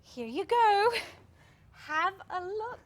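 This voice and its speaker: A woman in a staged play reading, playing a genteel, fussily polite hairdresser given to bright exclamations. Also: very high voice, sing-song, takes it slowly, full character voice. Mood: encouraging. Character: chipper, polite hairdresser woman in a soap-opera-era salon. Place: children's theatre stage, filmed staged reading.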